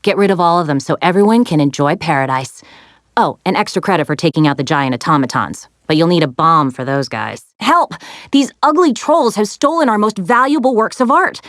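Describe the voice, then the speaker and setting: An actress voicing a plucky, sassy young woman adventurer in a video game, expressive and wry as she briefs heroes. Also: on the low side, a little fast, no room sound, clean studio sound, natural voice. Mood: brisk, wry, then alarmed, exasperated. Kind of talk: animated video game character lines, giving mission briefings.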